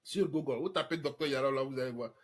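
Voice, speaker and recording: A man's voice: medium pitch, fast talker, slight background noise. A man from Côte d'Ivoire, hosting a live online question-and-answer talk in an emphatic way. He repeats words and drops English fillers. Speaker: trading course host answering questions.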